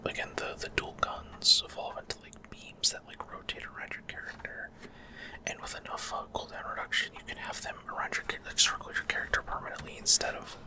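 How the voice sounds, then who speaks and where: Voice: brisk, low-quality recording. A person whispering unscripted ASMR commentary over a video game, explaining things in a hesitant way.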